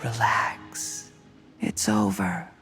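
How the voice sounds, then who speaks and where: An actor playing a cold-blooded, calculating schemer in a video game, with a polite, smug, theatrical manner. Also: male voice, high-pitched, big pitch swings, drawn-out words, gravelly, fairly bright tone, soft background music, exaggerated voice. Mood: hushed, menacing. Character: smooth, scheming, cold-blooded male villain. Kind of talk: dramatic video game voice acting.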